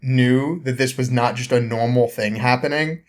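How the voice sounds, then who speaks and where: A man's voice: mid-range voice, fairly even intonation, moderate pace. A young man recounting a story in casual chat as a podcast guest, informal and prone to chuckling.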